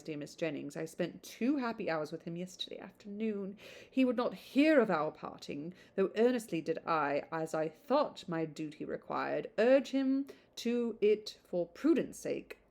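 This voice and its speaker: A woman reading as a young Regency letter-writer, formal, measured and politely ingratiating. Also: lowish voice, darkish tone.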